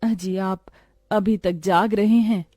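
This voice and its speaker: A woman voicing an old farmer's devoted wife in a cartoon moral story, quiet and consoling. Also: medium pitch, fairly even intonation, takes it slowly, dry recording, studio quality, soft background music. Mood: soothing concern.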